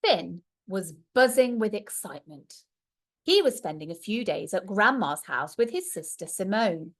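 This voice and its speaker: Slow, expressive woman reading a storybook. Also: medium pitch. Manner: expressive, with questions and asides to young listeners. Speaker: primary school teacher reading aloud. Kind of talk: storybook read-aloud for young children.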